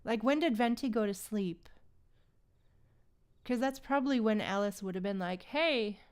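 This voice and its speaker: A woman's voice: mid-range voice, moderate pace, clean tone. A woman livestreaming a game, chatting casually and reacting with exclamations and joking, slangy asides.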